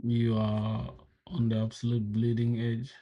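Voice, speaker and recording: Swearing, exasperated young coder. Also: male voice, mid-range voice, level tone, a little slow, highs cut off. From South Sudan. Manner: swears a lot, frustrated outbursts, calls viewers bro. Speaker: programming livestreamer. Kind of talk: casual live coding stream.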